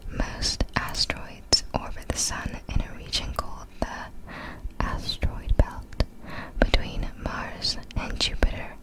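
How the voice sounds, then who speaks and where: A woman whispering ASMR narration of facts in a breathy, fully unvoiced whisper, with careful, deliberate phrasing. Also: low-quality recording. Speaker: ASMR creator.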